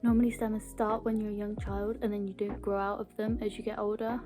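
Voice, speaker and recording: A woman's voice: mid-range voice, level tone, fast. A young British woman with a mild stammer who talks earnestly to camera in a casual personal style and sometimes repeats small words. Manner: earnest, with small word repetitions. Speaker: video blogger. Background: background music.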